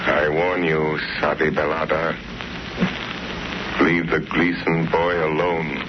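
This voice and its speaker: Ominous phantom crime-fighter, extremely deep, deliberate, calmly mocking; muffled vintage radio serial. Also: male voice. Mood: menacing. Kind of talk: 1930s radio drama acting. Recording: noisy muffled old radio recording.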